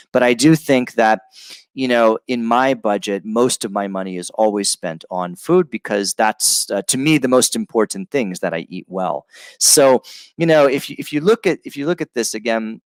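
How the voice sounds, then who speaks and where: A man teaching English on a live stream, reading out viewer comments and chatting about them in a relaxed way, with lots of fillers and restarts. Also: medium pitch, no echo. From Brazil and California, United States.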